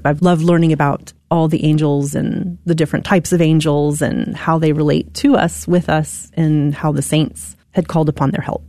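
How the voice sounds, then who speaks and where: A woman's voice: low voice, little pitch movement, normal speed, few pauses, mellow, no room sound, studio quality. A woman guest in a recorded radio interview who speaks with enthusiasm and meanders through her thoughts.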